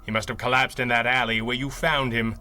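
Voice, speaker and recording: An actor playing a calm, philosophical masked crime fighter in a 1930s-style radio adventure, speaking in a measured, articulate way. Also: male voice, mid-range voice. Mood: reflective, gentle.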